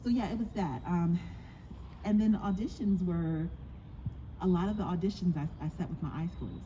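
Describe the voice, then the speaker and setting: Woman director, lowish voice, halting and thoughtful live Q&A delivery, noisy recording. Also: dark tone, dull audio. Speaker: audio play director. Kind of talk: live Q&A talk.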